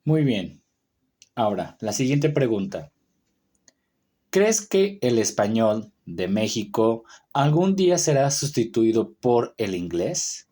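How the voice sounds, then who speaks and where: A man reads interview questions in a brief, formal manner. His voice is mellow and dark, and his pitch moves freely from phrase to phrase. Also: big pitch swings, slow.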